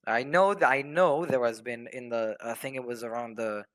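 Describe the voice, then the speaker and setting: A man in an unscripted debate as a caller, halting and flustered, stammering and repeating his words as he argues. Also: mid-range voice, a bit bright. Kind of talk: unscripted argument.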